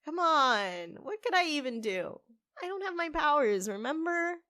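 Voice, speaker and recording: A woman's voice: high voice, big pitch swings, normal speed, sharp tone, dry recording, studio quality, heavily acted. A woman playing a stressed, stubborn barista in an audio drama, with a wry, defensive, casual way of talking. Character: stressed, stubborn half-human young-looking woman barista, grieving, wry.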